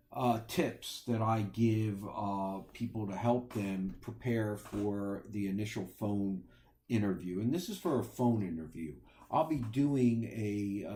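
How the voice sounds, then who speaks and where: Halting, explanatory middle-aged man. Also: medium pitch, darkish tone. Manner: halting, often pausing mid-phrase and repeating words. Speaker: recruiter giving career advice as podcast host. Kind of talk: informal unscripted advice talk.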